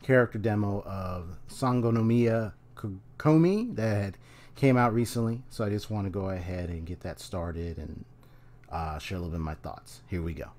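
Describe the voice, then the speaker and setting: A man's voice: on the low side, normal speed. A young man casually reacting to a game trailer at home, speaking in a rambling, hesitant way into a desk mic. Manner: rambling, hesitant, many restarts, relaxed. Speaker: video game reaction youtuber. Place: small room at home, indoors. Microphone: desk microphone on a stand.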